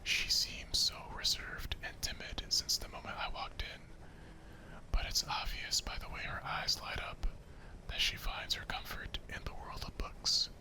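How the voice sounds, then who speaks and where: A man reading a visual novel as whispered ASMR narration, soft and deliberate. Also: low-quality recording.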